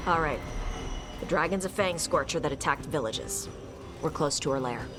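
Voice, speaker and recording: An actress playing a blunt, guarded young dragon hunter in a video game, speaking in terse, casual phrases. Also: female voice, low-pitched, animated, moderate pace. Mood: focused, blunt. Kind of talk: video game cutscene acting. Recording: music behind the voice.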